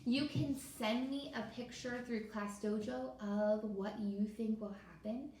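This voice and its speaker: A woman's voice: mid-range voice, warm tone. A woman teacher leading an interactive read-aloud for young children, encouraging them and putting questions straight to them. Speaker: elementary school teacher. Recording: echoey room with faint noise.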